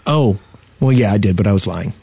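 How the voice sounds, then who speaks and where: A man's voice: lowish voice, expressive, brisk, gravelly, dark tone, husky, dry recording. A man co-hosting a podcast, chatting casually with joking banter. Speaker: podcast co-host. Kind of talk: casual group podcast chat.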